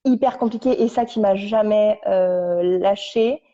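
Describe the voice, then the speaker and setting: A young woman, a podcast guest, casually telling her story at home on a couch, halting and restarting as she searches for her words. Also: mid-range voice, dark tone. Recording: dull lo-fi recording.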